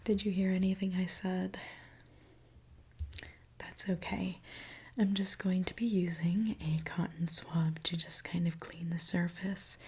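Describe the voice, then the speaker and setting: A woman doing an ASMR roleplay as a gentle mechanic, speaking in a soft, reassuring, attentive way. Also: on the low side, level tone, measured pace, slightly rough. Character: gentle mechanic repairing a robot client. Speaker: ASMR creator.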